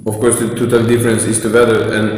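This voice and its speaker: A man's voice: fairly low pitch, completely flat delivery, fast talker, a little mellow. A man from Sweden, a native Swedish speaker, chatting casually as a guest and talking hesitantly with many fillers and restarts. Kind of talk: casual chat. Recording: echoey room, faint noise.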